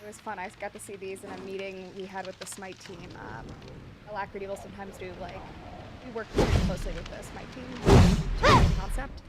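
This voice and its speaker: A woman guest from a game team, telling casual anecdotes in an excited way. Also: mid-range voice, brisk, loud music behind the voice. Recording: loud background noise with clicks.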